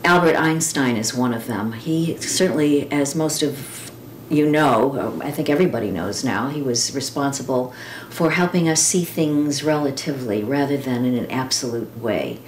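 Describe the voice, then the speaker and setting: A woman reading poems and quotations aloud with informal commentary, speaking in a reflective, deliberate way with pauses and drawn-out words. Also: extremely low pitch. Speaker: poetry reader. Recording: roomy echo with clear background noise.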